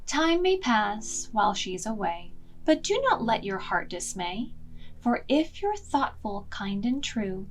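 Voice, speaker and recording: A woman hosting a children's storytime, reading picture-book lines aloud in an expressive, measured way that softens at times. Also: mid-range voice, a little hiss or hum. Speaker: children's library storytime host.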